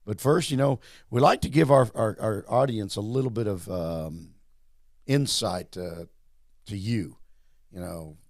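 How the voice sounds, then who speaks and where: Male interview host, low gravelly voice, meandering friendly delivery. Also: a little slow, darkish tone, no echo, clean studio sound. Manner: rambling, repeats words, friendly. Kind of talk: casual interview.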